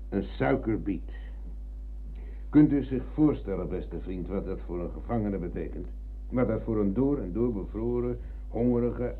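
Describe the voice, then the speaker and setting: A man playing a proud, self-righteous old ex-prisoner in a radio drama, husky and emphatic, telling things with rhetorical flourishes. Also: lowish voice, a little hiss or hum, close to an everyday voice. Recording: muffled, narrow-band old radio recording.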